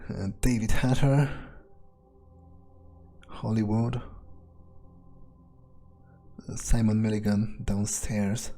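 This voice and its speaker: A man playing a cynical, crude vampire who muses to himself in a halting, sardonic way during an improvised video game roleplay. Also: on the low side, steady tone, dark tone. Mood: musing. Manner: halting, musing, sardonic, swearing.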